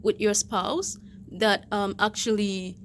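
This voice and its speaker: A woman's voice: medium pitch, steady tone, slow pace, slight background noise. A woman hosting a video interview, welcoming her guest with polite, formal phrasing. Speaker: host.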